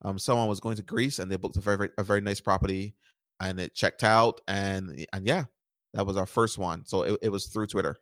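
A startup founder from Barbados in his thirties, answering interview questions in a relaxed way, repeating words and using lots of fillers. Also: low voice, brisk, no room sound, clean studio sound. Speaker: startup founder and podcast guest.

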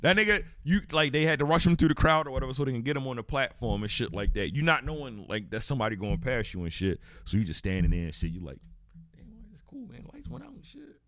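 Animated man telling stories. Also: mid-range voice, quick pace, dry recording. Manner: animated, acts out crowd voices, profane. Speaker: podcast co-host. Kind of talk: casual chat, storytelling.

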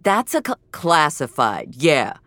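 A woman's voice: low-pitched, big pitch swings, slow, fairly bright tone, no echo, clean studio sound, full character voice. A woman voicing an exasperated, incredulous father in an audio drama, agitated and grumbling. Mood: bitter. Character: frantic, exasperated father, male film actor. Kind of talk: dramatic audio fiction dialogue.

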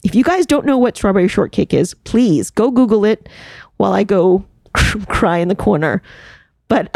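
Woman host, TV producer from the New York suburbs; relaxed, mellow, dry studio sound; wry and candid. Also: medium pitch, no echo. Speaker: podcast host; television producer. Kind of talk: reflective personal commentary.

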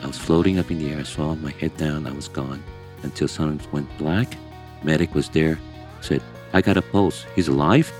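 Very deep-voiced man telling his story. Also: darkish tone, faint music behind. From born in Hong Kong, raised in Brazil. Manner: earnest, emphatic, halting restarts. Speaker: guest angler. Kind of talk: candid interview storytelling.

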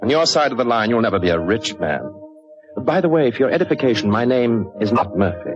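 An actor playing a smooth criminal mastermind in a 1940s radio drama, urbane and mockingly polite. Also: male voice, mid-range voice, expressive, lightly acted. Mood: mocking, amused. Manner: urbane, hesitant little pauses, mockingly courteous. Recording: muffled, husky old radio recording, music behind.

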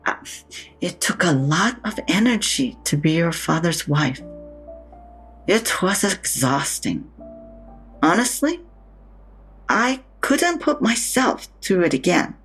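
A woman's voice: on the low side, big pitch swings, slow, long pauses, fairly bright tone, faint music behind, exaggerated voice, rough recording. A woman playing a blunt, sardonic older mother in an audio drama, with a frank, dry way of talking. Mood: wry, frank. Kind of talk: naturalistic audio drama acting.

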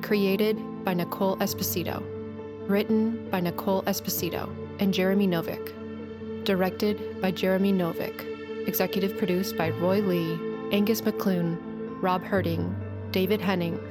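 A woman announcer giving a scripted read of show credits, with music behind her. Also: mid-range voice.

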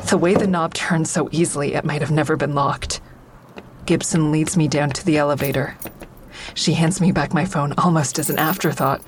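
A woman's voice: sits low, steady tone, moderate pace, a little hiss or hum, lightly acted. An actress playing a bitter, distraught woman who narrates her own police questioning in a raw, profane way. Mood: shaken, weary. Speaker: narrator and lead character in an audio drama. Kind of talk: first-person dramatic narration and dialogue. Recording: music under the voice.

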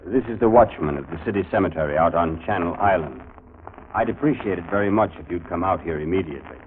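An actor playing a grim, cryptic cemetery watchman in a 1940s radio mystery, speaking in a measured, ominous calm. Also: low voice, narrow, tinny audio. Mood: calm, insistent. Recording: old 1940s radio broadcast, thin, faint noise.

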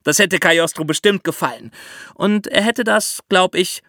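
A man's voice: sits high, moderate pace, sharp tone, dry recording, clean studio sound. A man hosting a podcast, telling history in a conversational storytelling style. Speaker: podcast host.

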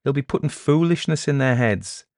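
Man doing an anxious old villager, pitched high. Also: animated, normal speed, darkish tone, dry recording, clean studio sound, lightly acted. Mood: worried. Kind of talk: storybook character voice in a narrated tale.

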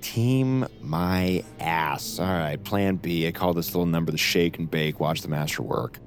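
A frantic captive in an audio drama, his voice low. He wisecracks, rambles and trips over his own thoughts as he unravels. Music plays clearly behind him. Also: a little slow. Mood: sardonic. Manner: sardonic, rambling, self-interrupting. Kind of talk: audio fiction acting with narrated asides. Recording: music under the voice.

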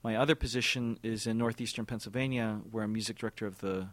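A man in his forties, an orchestra music director, answering interview questions in a thoughtful, halting way with many repeated words and fillers. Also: on the low side, fairly even intonation, no echo.

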